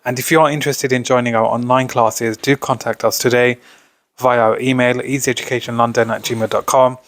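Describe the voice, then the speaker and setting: A man's voice: medium pitch, level tone, fairly quick, fairly bright tone, no room sound. A man presenting an exam revision lesson as a tutor, talking informally through notes and posing questions that he then answers. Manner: explanatory, poses questions then answers them. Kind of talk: informal instructional commentary over revision notes.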